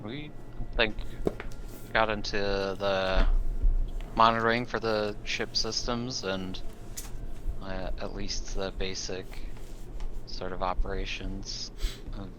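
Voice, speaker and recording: A man's voice: fairly low pitch, fairly even intonation, slow pace, pauses often, background noise. A man chatting casually as a player during a tabletop roleplaying game.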